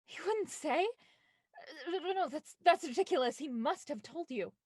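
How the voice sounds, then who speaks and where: A woman's voice: sits high, animated, a little slow, darkish tone, no echo, clean studio sound. An actress playing a determined, impatient woman with an urgent, insistent manner in an audio drama. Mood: desperate. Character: Felicity, determined, impatient woman desperate to find her brother.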